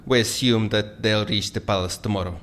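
An actor playing a deadpan, businesslike Kremlin official in an audio drama, speaking in a matter-of-fact way. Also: male voice, lowish voice, a little fast, natural voice. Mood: grave, businesslike.

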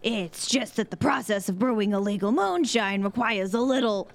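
A woman playing a wry, sharp-tongued bartender who spins a tale with teasing sarcasm in an audio drama. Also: mid-range voice, animated, moderate pace, vocal fry. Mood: sarcastic. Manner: sardonic, teasing storyteller.

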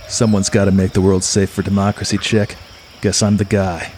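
A man's voice: low-pitched, flat delivery, moderate pace, darkish tone, slight background noise, close to an everyday voice. A man playing a hard-boiled, macho mercenary who narrates in tough-guy slang like a pulp radio play. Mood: cocky. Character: hard-boiled, swaggering male mercenary turned cosmic-love believer.

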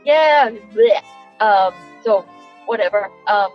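A woman's voice: medium pitch, soft background music. Older Boston woman on a muffled phone line. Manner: halting, giggly, hesitant. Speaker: host's mother, phone-in caller. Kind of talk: casual phone call. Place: in a car, driving.

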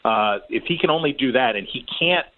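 A man's voice: mid-range voice, lively intonation, fairly quick. Male guest pundit, wry and analytical, phone-line audio. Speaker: political commentator guest. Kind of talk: political analysis.

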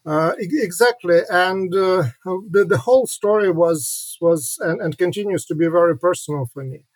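Halting Ukrainian-born man, high voice. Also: no echo. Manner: halting, with many repeated words and fillers. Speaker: historian and author, guest. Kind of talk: reflective interview answers.

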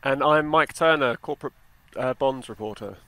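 A man's voice: medium pitch, normal speed, a bit bright, no echo. Reporter, man, in very dry sound. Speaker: financial reporter, podcast panellist. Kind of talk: conversational podcast discussion.

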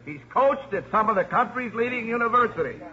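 An actor playing a genial, optimistic college priest with a polite, cheerful manner in a 1950s radio drama. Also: male voice, very high-pitched, expressive, a little fast, sharp tone, heavily acted. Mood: genial, hopeful. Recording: muffled old radio recording, crowd behind.

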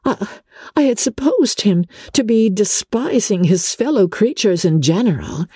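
Storybook heroine played by a woman: indignant young gentlewoman, earnest, high and breathy, very animated. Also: no echo, studio quality, exaggerated voice. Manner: earnest, exclamatory, halting when shocked.

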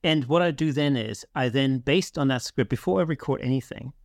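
Male podcast host, mid-pitched, conversational and methodical explainer, bone-dry recording. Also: a little fast, vocal fry, no echo.

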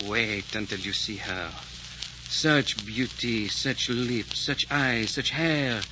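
French manservant, deferential, gushing, eager, mid-pitched, 1940s radio drama, noisy muffled recording. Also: male voice, takes it slowly, pushed and bright. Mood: admiring.

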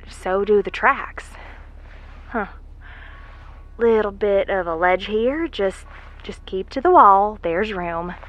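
A bright-voiced, unhurried woman explorer in an audio drama. She is chatty and curious, muttering folksy asides to herself as she works alone. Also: medium pitch. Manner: folksy, muttering to herself, breathy sighs and hesitations.

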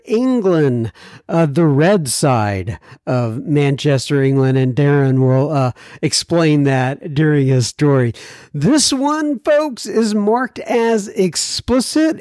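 A folksy American man hosts a podcast in a high-pitched voice. He rambles chattily through his introductions and questions, and the recording is close and dry. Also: darkish tone, no room sound. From United States. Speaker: podcast host. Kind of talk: casual podcast intro and interview.

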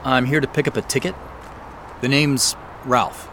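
A man's voice: medium pitch, fairly even intonation, normal speed, pushed and bright, a little hiss or hum, natural voice. A man playing a wary, dry-witted amateur sleuth in an audio drama, guarded and terse in his delivery. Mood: wary, puzzled. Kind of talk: naturalistic audio drama acting with first-person narration.

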